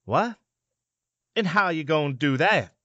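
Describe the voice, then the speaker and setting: Man as skeptical old politician, highish voice. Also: lively intonation, unhurried, pushed and bright, dull audio, exaggerated voice. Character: skeptical older male traditionalist politician. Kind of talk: audio drama acting.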